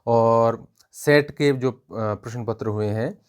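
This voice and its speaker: A man's voice: medium pitch, slow, clear, resonant tone. A man giving an informal educational review, explaining point by point and often repeating himself. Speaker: exam-prep book reviewer.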